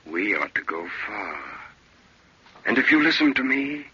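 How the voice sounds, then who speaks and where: Slow, raspy, sinister inner tempter. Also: male voice, lowish voice, very animated, bright, forceful tone, heavily acted. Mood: sly tempting. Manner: slow, deliberate, insinuating. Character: sinister male second soul, calculating, tempting schemer. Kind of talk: old-time radio drama acting. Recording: dull, lo-fi old radio recording.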